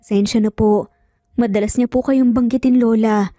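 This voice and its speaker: Woman acting an anxious, gentle young wife. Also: a little high, fairly even intonation, moderate pace, dry recording, studio quality, soft background music, natural voice. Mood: apologetic, sad. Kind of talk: dramatized audio storybook.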